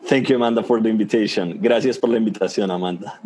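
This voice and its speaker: A man's voice: fairly low pitch, very fast, warm tone. A man around thirty from Colombia, a native Spanish speaker with a Colombian accent, giving prepared answers as a podcast guest. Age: 30s. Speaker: guest, nonprofit research associate. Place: remote video call over Zoom.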